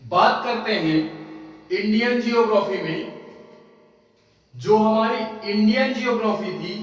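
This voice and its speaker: A man's voice: sits high, slow pace, highs cut off. Young man teaching in an echoey hall. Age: 20s. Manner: chatty, repeats questions and answers them himself. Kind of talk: casual coaching lecture. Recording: echoey far-off room with faint music.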